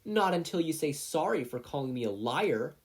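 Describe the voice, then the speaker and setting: An earnest, sensitive little boy , put on by an audiobook narrator, who is stubborn and insistent. The voice is lifted well above the narrator's own, lively and a touch husky. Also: mid-range voice, normal speed, pitched above the performer's own voice. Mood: stubborn, matter-of-fact.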